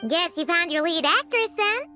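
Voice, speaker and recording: A woman voicing a small, excitable childlike fairy companion in a video game, squeaky and full of exclamations. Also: moderate pace, full character voice. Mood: sympathetic. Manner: exclamatory, refers to herself in third person. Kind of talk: animated video game dubbing. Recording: music behind the voice.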